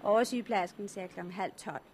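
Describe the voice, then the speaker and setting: An actress playing a chatty, kindly hospital nurse with a gently teasing manner in a 1960s radio drama. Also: female voice, medium pitch, slight background noise, natural voice. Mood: chatty, soothing.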